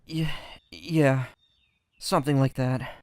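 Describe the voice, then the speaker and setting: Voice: medium pitch, fairly even intonation, takes it slowly, a little mellow, no echo, natural voice. An actor playing an anxious, earnest young boy in a fantasy audio drama, hesitant and stammering. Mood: dazed, subdued.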